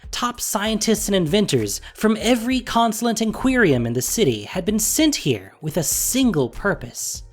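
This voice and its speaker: A narrator reading a scripted story in an expressive, deliberate storytelling manner. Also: mid-range voice. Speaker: narrator and producer. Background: music.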